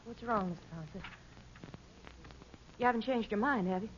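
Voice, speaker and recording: An actress playing an anxious, loyal rancher's wife in a 1950s radio western, earnest and insistent, with an American accent. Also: female voice, mid-range voice, normal speed, natural voice. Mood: uneasy. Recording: muffled, noisy 1950s radio recording.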